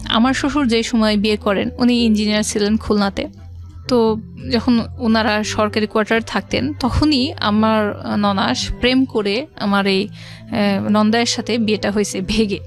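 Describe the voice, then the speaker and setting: Higher-pitched Bangladeshi woman telling her story. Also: fairly even intonation, moderate pace. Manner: conversational, quotes past dialogue, mixes in English words. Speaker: interview guest. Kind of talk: personal life storytelling. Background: background music.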